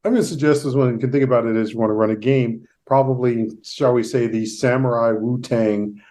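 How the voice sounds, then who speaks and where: A man hosting a casual interview, talking in a halting, discursive way. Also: medium pitch, steady tone, mellow. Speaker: podcast host.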